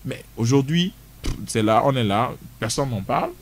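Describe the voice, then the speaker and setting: A man's voice: medium pitch, animated, moderate pace, slight background noise. Emphatic man on radio. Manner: emphatic, repeats words and restarts often. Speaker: studio guest. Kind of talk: radio discussion.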